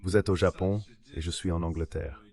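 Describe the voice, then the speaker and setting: A low-voiced man delivers an interview guest's answers as a dubbed translation. The reading is scripted and measured, in an explanatory register. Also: steady tone, darkish tone. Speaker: interview guest, former diplomat.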